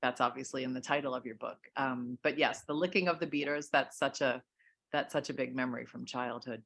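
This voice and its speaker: A middle-aged woman hosting a book interview over a video call, speaking in a measured way with many fillers and restarts. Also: a bass voice, steady tone, vocal fry, a bit bright. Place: home room.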